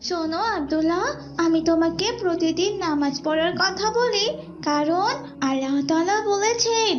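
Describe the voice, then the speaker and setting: A performer voicing a devout young older sister who explains and instructs in an Islamic moral cartoon. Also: very high-pitched. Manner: preachy, explanatory. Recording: dull lo-fi audio.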